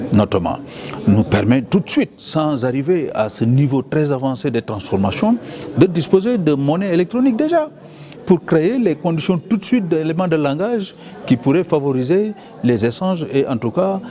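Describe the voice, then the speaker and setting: A man speaking as a conference panelist, deliberate and repetitive, with his pitch leaping when he stresses points. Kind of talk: interview statement. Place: conference venue. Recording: crowd murmur behind.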